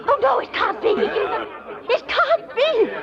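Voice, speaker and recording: High-pitched, frantic wife. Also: female voice, lively intonation, slow, crowd noise, full character voice. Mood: horrified. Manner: breathless, gasping, melodramatic. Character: frightened, excitable wife, landlady to a murderous lodger. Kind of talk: old-time radio drama acting and narration. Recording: muffled vintage radio recording with music.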